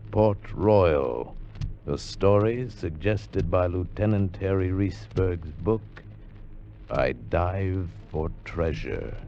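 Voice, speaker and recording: A man's voice: low-pitched, warm tone. A man delivering dramatic, portentous scripted narration as a 1950s radio announcer. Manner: measured, portentous. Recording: dull vintage radio recording.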